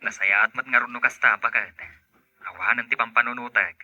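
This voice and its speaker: A man's voice: medium pitch, steady tone, a little fast, pushed and bright, no echo. A man acting a caring, hardworking husband abroad in a podcast drama, on a lo-fi recording. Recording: dull lo-fi, band-limited sound.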